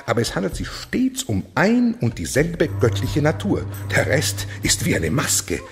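Haughty zealot eunuch official, radio play, extremely animated declamation, contemptuous, faint music behind. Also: male voice, medium pitch, a little slow. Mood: fanatical, indignant. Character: fanatical, haughty male eunuch official ruling over monsters. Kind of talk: German radio play acting.

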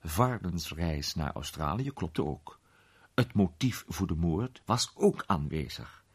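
Gentleman detective, very low pitch, rough husky tone, urbane storyteller, measured suspense, radio play. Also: lively intonation, heavily acted. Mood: explanatory, emphatic.

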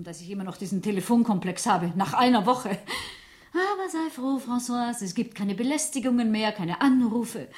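High, swooping, melodramatic scheming lady. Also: darkish tone, no echo. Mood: nervous, relieved. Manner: theatrical, talking to herself in exclamations. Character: Françoise, cold-blooded nervous young Parisian blackmail victim turned killer. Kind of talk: 1970s German radio play acting.

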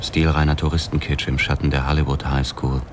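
A hard-boiled detective telling his story in a very deep voice, terse and clipped, for a radio drama. a faint hiss sits behind him. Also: little pitch movement, fairly quick. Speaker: first-person narrator, police detective. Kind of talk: narration in German radio drama.

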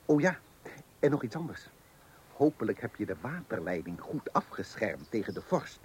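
An actor playing a smug, patronising know-it-all neighbour in a radio comedy, insistent and husky. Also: male voice, lowish voice, very animated, moderate pace, slight background noise. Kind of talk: radio comedy play acting.

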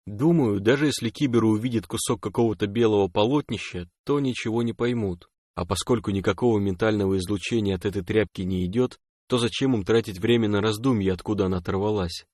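A man voicing a calm, reassuring young man who speaks in a hushed near-whisper, in an audiobook story. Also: on the low side, quick pace, hardly any pauses, dry recording, studio quality, natural voice. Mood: hushed, cautious. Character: calm, joking young male lover, reassuring.

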